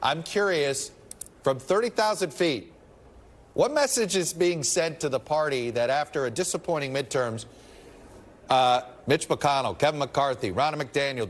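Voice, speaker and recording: A man hosting a news interview show, asking probing conversational questions. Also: fairly high pitch. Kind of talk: political TV interview.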